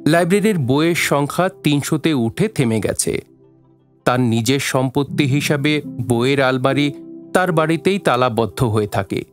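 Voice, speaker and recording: A man narrating a scripted story for an audio drama, in a literary storytelling style. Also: mid-range voice.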